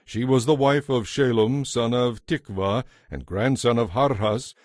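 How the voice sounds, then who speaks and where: A man narrating scripture from a script in a measured, formal storytelling manner. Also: mid-range voice, a little mellow, dry recording, clean studio sound.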